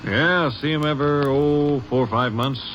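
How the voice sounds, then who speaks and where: Middle-pitched man, actor as folksy gas station owner, hesitant, obliging, vintage radio drama, muffled noisy sound. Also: a little slow, natural voice. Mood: obliging, curious. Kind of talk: 1950s radio drama acting. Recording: muffled old radio recording, noisy background.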